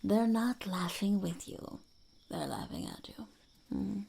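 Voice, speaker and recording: Woman playing a female goblin peddler, very gravelly creak, whispery ASMR, lively intonation, deadpan, cynical, close mic. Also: medium pitch, takes it slowly, exaggerated voice. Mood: blunt, teasing. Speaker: ASMR roleplayer. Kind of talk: soft-spoken, whispery ASMR roleplay. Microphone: close ASMR microphone. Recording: close-up with clicks and faint noise.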